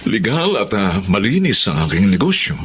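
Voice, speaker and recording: An actor playing an upright, apologetic gas station owner in a Filipino melodrama, speaking emphatically. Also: male voice, fairly low pitch, very animated, normal speed, a bit bright, slight background noise.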